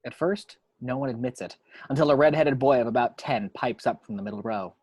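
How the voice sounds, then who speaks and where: A man's voice: mid-range voice, brisk, a little mellow, slightly rough, no echo. A man narrating screenplay action lines at an amateur table read in a matter-of-fact storytelling way.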